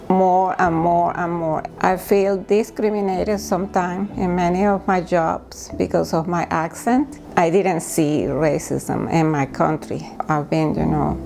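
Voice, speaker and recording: Interviewee: woman, Venezuelan Spanish accent, non-native halting phrasing, gravelly fry, faint music underneath. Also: on the low side, darkish tone. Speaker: documentary interviewee. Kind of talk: personal account to camera.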